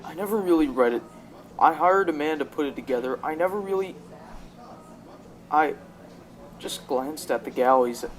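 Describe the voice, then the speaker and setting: A man's voice: a little high, animated, moderate pace, pauses often, close to an everyday voice. Young man playing a defensive, troubled young company executive. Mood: hesitant, flustered. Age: teenager. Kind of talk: stage-style radio drama acting.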